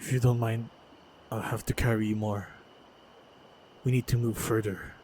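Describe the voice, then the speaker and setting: A man acting in an audio roleplay as a composed, protective monster hunter, speaking in a measured, unhurried way. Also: fairly low pitch, little pitch movement, background noise. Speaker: voice actor playing a monster hunter. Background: faint sound-effect ambience.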